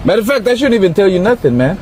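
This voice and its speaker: A man's voice: high-pitched, big pitch swings, racing, a little mellow, a little hiss or hum. A man recorded on a phone outdoors on a street, arguing confrontationally and profanely with officers.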